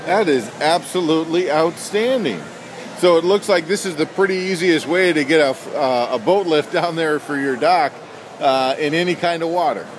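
A man's voice: fairly high pitch, moderate pace, music in the background. A man who publishes a magazine, interviewing an exhibitor at an indoor boat show with upbeat enthusiasm. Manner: enthusiastic, hearty praise. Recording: loud crowd and hall noise.

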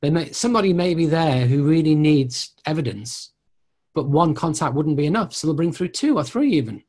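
Male tutor, middle-aged, slightly high and husky voice, informal question-and-answer teaching. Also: expressive, a little fast, darkish tone. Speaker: mediumship tutor.

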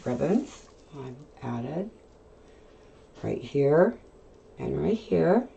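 A woman hosting a craft channel, talking through a tutorial in a casual voiceover with plain, unhurried step-by-step explaining. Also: low-pitched, sing-song, gravelly, mellow, dull audio. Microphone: voiceover mic.

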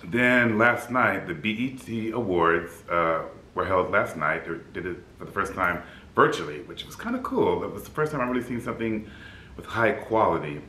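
A man in his fifties with a deep baritone voice, a bit scratchy in the throat, chatting upbeat to the camera in his apartment as a vlog host. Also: lively intonation, normal speed, bright, forceful tone, echoey room. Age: 50s. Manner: upbeat, chatty, laughs easily. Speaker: flight attendant vlogger. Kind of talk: casual vlog chat. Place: apartment room by a city window.

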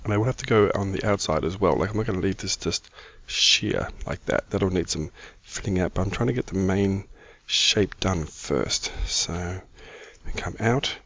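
A middle-aged man with a croaky voice, giving casual, easygoing gaming commentary as the host of a video. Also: deep voice, normal speed, a bit bright, dry recording. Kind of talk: casual Minecraft let's-play commentary.

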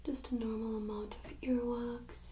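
Casting: young woman, soft higher voice, whispered ASMR examiner, gentle instructions, some room noise. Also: level tone, moderate pace. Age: young adult. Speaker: ASMR creator playing a medical examiner. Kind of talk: ASMR medical role-play. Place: small indoor room set up as exam room.

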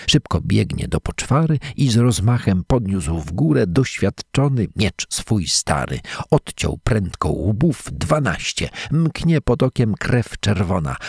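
A man reading a fairy tale in verse aloud, as a measured, dramatic storyteller. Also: lowish voice, vocal fry, a little mellow, husky, no room sound, studio quality. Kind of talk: expressive scripted fairy-tale narration in verse.

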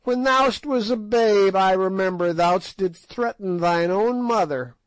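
A man voicing an ancient, wicked witch woman, with a high piping cackle and slow gloating delivery. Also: no room sound, low-quality recording. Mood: sneering. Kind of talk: audiobook character voice.

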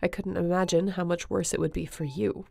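A woman playing an earnest, emotional space captain in a sci-fi audio roleplay, tender and halting. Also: lowish voice, steady tone, vocal fry, darkish tone. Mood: confessional, sad. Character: woman space captain, tender, guilt-ridden, devoted to her crewmate.

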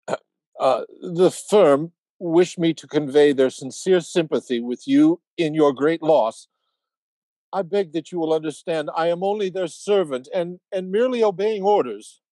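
A slightly high-voiced, hesitant company messenger acted by a man in a radio drama. He is formal, nervous and apologetic, stumbling as he speaks. The sound is dry. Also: unhurried, mellow, dry recording, close to an everyday voice, rough recording. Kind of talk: radio play acting.

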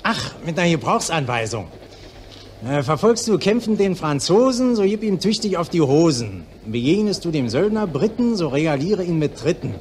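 A man's voice: a little high, sing-song, normal speed, darkish tone. A man playing a chummy, boastful wartime travelling salesman in a 1940s radio drama. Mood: jovial, impressed. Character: brisk, self-satisfied wartime travelling salesman. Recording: slightly noisy 1940s radio recording.

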